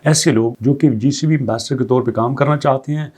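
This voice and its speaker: Mellow-voiced man explaining crypto news. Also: medium pitch, fairly quick, husky. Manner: reads English passages aloud, then explains them conversationally; poses strings of rhetorical questions. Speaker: crypto news channel host. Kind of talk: explanatory commentary.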